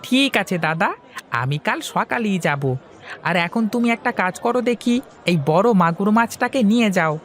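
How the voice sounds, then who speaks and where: Guy doing a chatty cartoon village fish seller, coaxing sing-song, voice pushed up high. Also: lively intonation, moderate pace, a bit bright, some chatter behind. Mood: eager, coaxing. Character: eager, chatty male village fish hawker.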